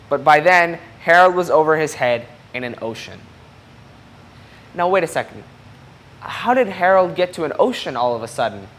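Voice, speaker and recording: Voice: medium pitch, fairly bright tone, slight background noise. A person reading a children's picture book aloud expressively, explaining things and putting questions to young listeners. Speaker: storybook reader.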